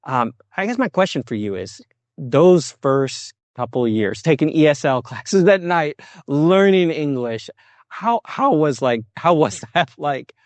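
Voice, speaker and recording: A man's voice: fairly high pitch, animated, a little slow, dry recording. A middle-aged American man hosting a podcast interview, chatty and friendly, laughing often. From United States. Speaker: podcast host. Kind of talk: casual interview.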